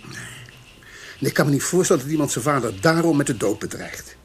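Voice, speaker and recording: A man's voice: on the high side, a little fast, slight background noise, lightly acted. A man acting a sceptical, chatty colleague in a radio drama, doubting and conversational. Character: sceptical, chatty male colleague arguing with his friend.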